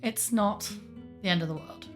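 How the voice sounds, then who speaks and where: A woman with a croaky voice, giving rambling, chatty advice to her viewers with self-mocking asides. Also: medium pitch, normal speed, fairly bright tone, soft background music. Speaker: larp advice host. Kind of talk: casual advice monologue.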